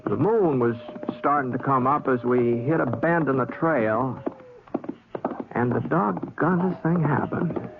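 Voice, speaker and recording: An actor playing an easygoing cowboy drifter with an American accent, in the folksy, hesitant style of old radio drama. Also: male voice, mid-range voice, a little slow, creaky. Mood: musing, unhurried. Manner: folksy, hesitant, plain-spoken drawl. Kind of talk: 1950s radio drama acting and first-person narration. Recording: scratchy, tinny, noisy old radio recording.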